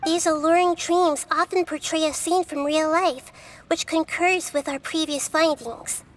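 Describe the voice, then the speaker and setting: Game deity: childlike, high voice, gentle, wise, composed and formally spoken. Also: female voice, steady tone. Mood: calm, analytical. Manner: measured, formal, thoughtful wording. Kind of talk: video game voice acting.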